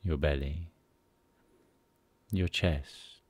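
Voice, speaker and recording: A man leading a guided sleep meditation in a soothing, unhurried manner, pausing between short phrases. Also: a bass voice, breathy edge, no room sound.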